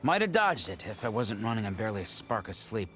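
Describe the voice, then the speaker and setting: Weary, grumbling injured miner. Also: male voice, fairly low pitch, big pitch swings, fast, a bit bright, soft background music. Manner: blunt, colloquial, grumbling. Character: injured, weary, plain-spoken male mine worker. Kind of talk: scripted video game dialogue.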